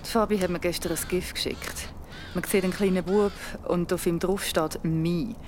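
A woman's voice: on the low side, fairly even intonation, moderate pace, noisy background, lightly acted. A woman playing a chatty, teasing, bookish young friend with a Swiss accent in an audio drama. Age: young adult. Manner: chatty, casual.

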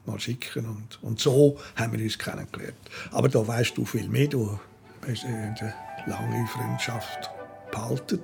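An older man with a Swiss German accent, talking haltingly as he searches for words in an interview. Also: fairly low pitch, creaky, darkish tone, breathy edge. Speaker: writer being interviewed.

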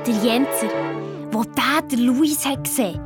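A woman's voice: fairly high pitch, a little slow, over music, lightly acted. A woman playing a young Swiss girl detective with a Swiss German accent, telling a story colloquially in a children's radio play. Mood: animated. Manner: colloquial, excited retelling.

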